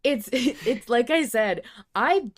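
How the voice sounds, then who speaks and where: A woman hosting a podcast, chatting casually and debating in an animated, playful way. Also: on the high side, slow, slight background noise. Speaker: podcast host. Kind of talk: casual chat about an audio drama.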